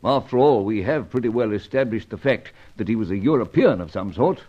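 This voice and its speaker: Animated, urbane spy chief. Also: male voice, on the low side, fairly quick, lo-fi sound. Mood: urbane, measured. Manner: genial, urbane, old-fashioned upper-class phrasing. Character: genial, urbane British gentleman spy chief. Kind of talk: old-time radio serial acting.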